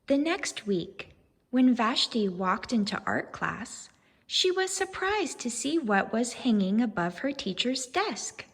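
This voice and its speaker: Teacher lady doing a kids read aloud, higher husky voice, very expressive n deliberate, lots of hall echo. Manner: expressive, deliberate, addressing young children. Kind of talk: children's storybook read-aloud with questions.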